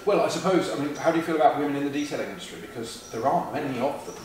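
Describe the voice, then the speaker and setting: A man's voice: medium pitch, lively intonation, very fast, slight background noise. A man hosting an interview, chatty and casual, with plenty of ums and fillers. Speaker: interviewer, magazine host. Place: large workshop garage, indoors. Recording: echoey garage from far off.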